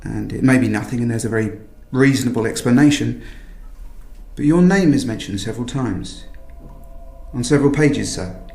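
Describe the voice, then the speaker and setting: An actor playing a polite, quietly probing police detective in a radio drama, hesitant and understated. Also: male voice, mid-range voice, moderate pace, vocal fry, reverberant, close to an everyday voice. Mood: grave, apologetic. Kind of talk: naturalistic radio drama acting.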